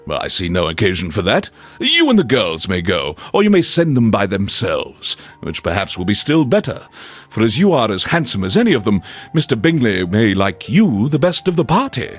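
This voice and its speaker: Casting: unimpressed middle-aged husband, acted by a man, husky, understated and teasing, for a storybook reading. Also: on the low side, wildly swooping pitch, moderate pace, soft background music. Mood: teasing, indifferent. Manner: dry, understated. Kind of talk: storybook reading in a game radio show.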